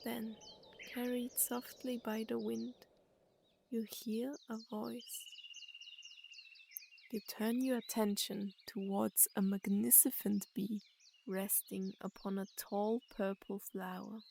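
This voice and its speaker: Very slow, creaky-voiced woman guiding meditation. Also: medium pitch, little pitch movement, mellow, slight background noise. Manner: unhurried, soothing, with long pauses. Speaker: meditation guide and storyteller. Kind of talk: guided meditation, slow scripted narration.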